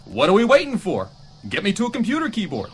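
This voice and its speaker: A man playing a cocky, energetic video game whiz on a read-along record, speaking in an informal, eager way. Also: high-pitched, fast talker, over music. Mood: eager, determined.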